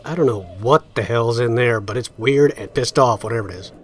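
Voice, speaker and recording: A man plays a rattled dog handler in a horror storybook drama. His medium-pitched voice is blunt and agitated. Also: a little fast, natural voice. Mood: alarmed. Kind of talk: horror read-along audio drama.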